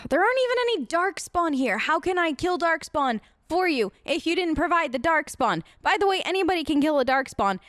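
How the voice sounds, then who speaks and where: A woman's voice: extremely high pitch, very animated, a little fast, a bit bright, dry recording. A woman hosting a gaming video, improvising in-character replies in a sarcastic, conversational way on a close mic. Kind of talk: improvised in-character role-play let's play.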